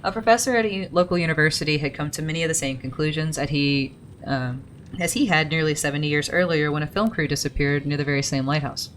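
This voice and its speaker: Low, casual woman gamer reading lore, chatty asides, faint rumble underneath. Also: fast talker. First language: English. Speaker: gaming video creator.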